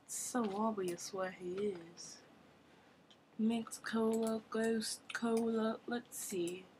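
A woman giving casual commentary on her own gameplay, thinking aloud with quick exclamations, at a desk mic at her computer. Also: fairly high pitch, steady tone, darkish tone, a little hiss or hum. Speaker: gamer recording her play.

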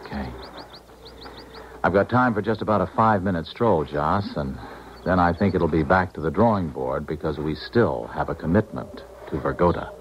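An actor playing a cautious, reasoning colleague and confidant in a 1970s radio drama. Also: male voice, low-pitched, lively intonation, normal speed, noisy background. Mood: matter-of-fact. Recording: dull 1970s radio recording, music behind.

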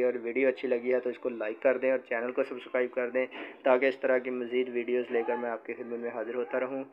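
Casting: male electrician-instructor, very monotone, polite and informal, on a muffled lo-fi recording. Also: medium pitch. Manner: polite, addresses viewers as friends. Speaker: electrician presenting a repair tutorial channel. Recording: muffled lo-fi phone video with background noise.

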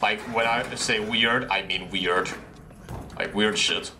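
A man's voice: fairly low pitch, slow, noisy background. A young man casually commenting on a horror game he is playing, into a headset mic in a small room, often yelping at surprises. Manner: excitable, yelps and laughs at surprises. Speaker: gameplay streamer.

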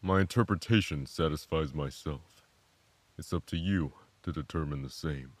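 A man playing a calm, grave, philosophical mentor in an audio drama, speaking in a measured, contemplative way. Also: rumbling low, no echo.

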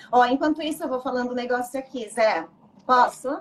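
A woman's voice: a little high, normal speed, fairly bright tone, slight background noise. A woman in her thirties from Brazil, hosting a casual live-stream interview from a small home office, mixing Italian words into her Portuguese. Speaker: host.

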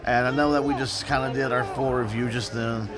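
A man's voice: mid-range voice, fairly even intonation, brisk. A man in his thirties reviewing a restaurant in casual, rambling, emphatic vlog talk, filmed on a handheld camera in a restaurant booth. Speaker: food vlogger. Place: busy restaurant booth indoors. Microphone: handheld camera at arm's length. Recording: loud restaurant music and crowd. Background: loud music and crowd chatter.

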